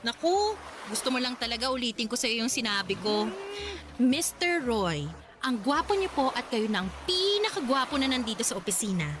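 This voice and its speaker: A woman's voice: fairly high pitch, big pitch swings, normal speed, noisy background. A woman acting a coy, romantic young woman in a Filipino radio drama, with a playful, conversational manner and a Filipino accent. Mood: coy, teasing.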